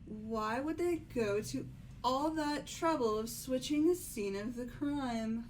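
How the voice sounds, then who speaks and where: A woman playing a composed, doubting young aristocratic girl with measured delivery in an amateur game dub. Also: a little high, slight background noise, low-quality recording.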